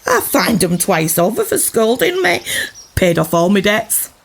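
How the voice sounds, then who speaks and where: A woman's voice: medium pitch, very animated, unhurried, gravelly, very noisy. A woman playing an indignant, gossipy scolding wife and mother in old-time York, with a Yorkshire accent, in a comic audio drama. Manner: outraged, gossipy, emphatic complaining.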